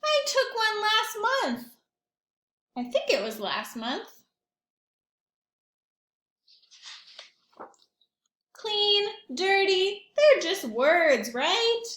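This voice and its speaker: A woman's voice: very high voice, very animated, a bit bright, roomy sound, voice pushed up, full character voice. A woman voicing a stubborn, indignant male pigeon from a picture book, protesting and arguing emphatically. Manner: emphatic, argumentative, drawn-out protests.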